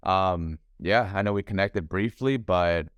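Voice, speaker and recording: Deep-voiced young man in easy chat. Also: measured pace, smooth, dry recording. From Thailand. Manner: relaxed, talkative, calls the host bro and man. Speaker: podcast guest, realtor. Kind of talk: casual interview chat. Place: home. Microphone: video call.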